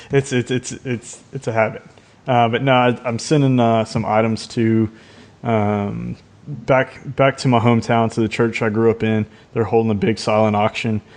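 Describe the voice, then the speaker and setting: A man's voice: mid-range voice, little pitch movement, normal speed, a little mellow. A man chatting casually as a co-host on a hobby podcast. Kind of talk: casual chat.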